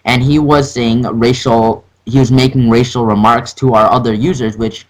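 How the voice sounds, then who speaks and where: A man's voice: mid-range voice, fairly even intonation, normal speed. A young man on a phone call, arguing in an official customer-support manner, insistent and protesting. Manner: insistent, protesting, repeatedly saying sir. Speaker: prank caller posing as game support. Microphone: telephone or call software.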